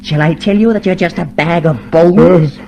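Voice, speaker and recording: An actor playing a cold, fanatical, domineering party torturer in a vintage radio drama, with theatrical, emphatic menace. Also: male voice, fairly high pitch, normal speed, gravelly, darkish tone, faint music behind. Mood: taunting, cruel. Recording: noisy, humming old radio drama recording.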